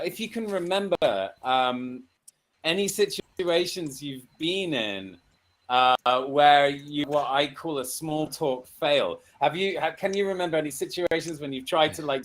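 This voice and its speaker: A man's voice: on the high side, lively intonation, measured pace. A man hosting a casual online lesson, chatty, with frequent laughs and fillers. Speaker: host and English teacher.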